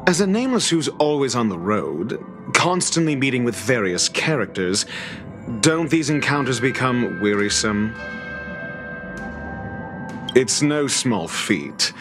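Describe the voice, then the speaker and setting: An actor playing an arrogant, fastidious male scholar in a video game, pompous and eloquent, with deliberate dramatic pauses and cool disdain for fools. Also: medium pitch, sing-song. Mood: dry, condescending. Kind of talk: scripted video game character acting. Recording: music behind the voice.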